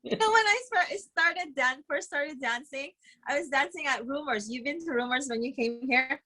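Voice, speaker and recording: A woman's voice: high-pitched, moderate pace, sharp tone, low-quality recording. A woman, chatting cheerfully as a guest and giggling often. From Philippines. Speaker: guest, dancer. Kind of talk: casual interview chat.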